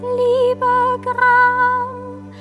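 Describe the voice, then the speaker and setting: A woman's voice: very high voice, completely flat delivery, very slow, a bit bright, faint music behind, heavily acted. An actress playing a forlorn, lonely young woman, singing a plaintive, lullaby-like song in a radio drama. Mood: sorrowful.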